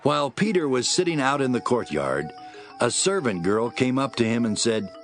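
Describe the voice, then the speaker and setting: A man's voice: mid-range voice, big pitch swings, normal speed, soft background music. A man narrating scripture as a scripted dramatic reading.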